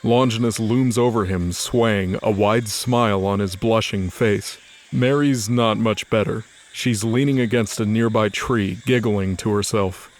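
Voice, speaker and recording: A man's voice: on the low side, fairly even intonation, a little slow. A man narrating a scripted audio drama as storyteller.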